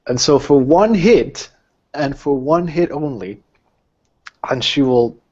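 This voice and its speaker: A man's voice: mid-range voice, a little mellow. A man narrating a tabletop roleplaying game as game master, speaking casually with the players. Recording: dull, lo-fi voice recording.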